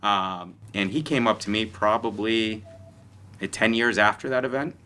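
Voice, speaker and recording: A man's voice: lowish voice, moderate pace, pushed and bright. A man speaking earnestly as a panelist in a conversational discussion, often repeating words as he goes.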